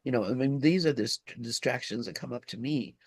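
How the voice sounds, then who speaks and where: A man speaking reflectively and casually in a group discussion, halting and repeating himself as he gropes for words. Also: medium pitch, no echo.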